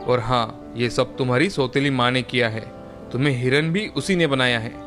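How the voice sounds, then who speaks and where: Man as calm, wise old forest sage. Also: mid-range voice, normal speed, over music, lightly acted. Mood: calm, instructing. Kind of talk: dramatised children's fairy tale acting.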